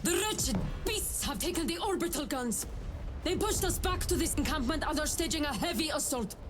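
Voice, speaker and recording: An actress playing a fierce, battle-pressed army major in a video game, barking urgently in a military manner. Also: female voice, high voice, lively intonation, normal speed, over music. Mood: urgent, commanding. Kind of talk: cinematic video game dialogue.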